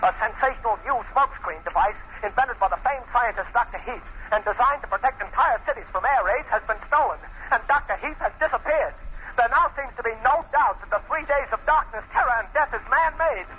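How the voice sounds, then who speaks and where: Rapid-fire, high-pitched urgent radio newsman. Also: expressive, sharp tone, exaggerated voice. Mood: urgent, sensational. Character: urgent police dispatcher and breathless newsman. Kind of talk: 1940s radio news bulletin acting. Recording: dull, hissy old radio recording.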